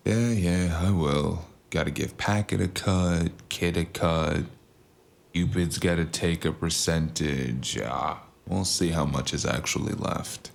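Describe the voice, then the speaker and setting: A man playing a worn-out, stubborn mercenary in an audio drama, gravelly and flippant. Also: deep voice, slow pace, exaggerated voice. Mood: resigned. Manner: weary, flippant.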